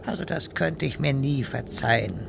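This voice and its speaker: An actor playing a haughty, grandiose wizard in a fantasy parody audio play, speaking with condescension. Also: male voice, medium pitch, lively intonation, normal speed, vocal fry, a little hiss or hum. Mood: condescending. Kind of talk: audio comedy parody acting.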